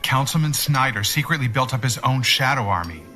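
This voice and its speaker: An actor playing a level-headed, dryly witty sidekick in a radio drama, with soft music behind him. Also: male voice, mid-range voice, lively intonation, a little fast. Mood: dry, reasoning.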